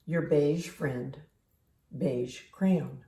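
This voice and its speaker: Woman as a grumbling, slow, overlooked crayon. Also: sits low, gravelly, warm tone, recorded from a distance, pitched below the performer's own voice, heavily acted. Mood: sulky. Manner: grumbling, deliberate. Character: resentful, overlooked beige crayon. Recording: roomy, echoing home recording.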